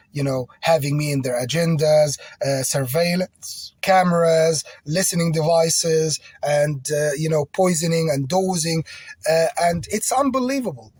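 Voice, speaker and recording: A man's voice: fairly high pitch, darkish tone, slightly rough, dry recording. A man whose first language is French, speaking English in an agitated, repetitive personal video appeal.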